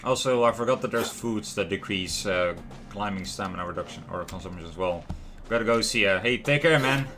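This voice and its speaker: A man hosts a casual game livestream. His voice sits fairly low but has a bright, forward edge. He rambles chattily to his viewers while soft music plays behind him. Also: brisk. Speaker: livestream host. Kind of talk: casual gameplay livestream commentary. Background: lo-fi music and game sound.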